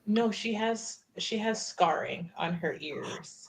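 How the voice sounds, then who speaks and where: A woman's voice: lowish voice, lively intonation, takes it slowly, creaky, a little hiss or hum. A woman chatting casually and playfully as a player in a tabletop roleplaying game.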